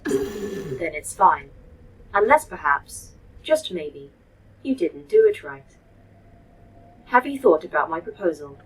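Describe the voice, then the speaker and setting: A woman's voice: fairly low pitch, big pitch swings, takes it slowly, long pauses, a little hiss or hum, rough recording. A woman voicing a proud, dry-witted, condescending artificial intelligence in a sci-fi audio drama. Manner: precise, sardonic, condescending. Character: proud, dry-witted A.I. running a secret facility.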